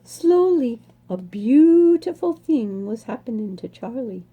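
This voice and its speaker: A woman reading a children's storybook aloud expressively, savoring words with dramatic emphasis and pauses. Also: on the high side, sing-song, breathy edge, phone-quality sound.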